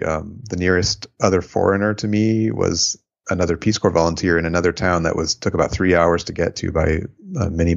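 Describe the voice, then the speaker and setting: Deep, gravelly American man, reflective. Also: dull audio. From United States. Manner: reflective, unhurried, many fillers, laughs at own stories. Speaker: interviewee, former volunteer abroad. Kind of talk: oral history interview.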